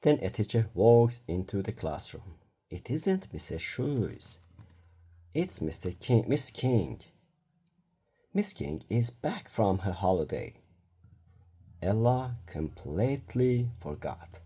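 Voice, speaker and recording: A man's voice: fairly low pitch, very animated, slow pace, warm tone, slightly rough. A man reading a children's bedtime story aloud in a careful manner, stumbling now and then over words.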